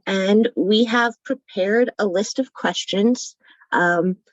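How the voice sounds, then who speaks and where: A woman's voice: mid-range voice, takes it slowly, no room sound, highs cut off. A woman chairing a student association meeting, calling roll and introducing questions in a casual, informal way. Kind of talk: running a meeting.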